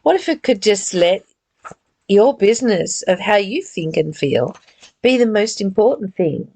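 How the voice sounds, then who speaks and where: A woman giving a reflective spiritual reading and teaching in an earnest, deliberate, gently exhorting way. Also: medium pitch, lively intonation. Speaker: reader and spiritual study teacher. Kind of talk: read-aloud spiritual text with reflective, informal commentary.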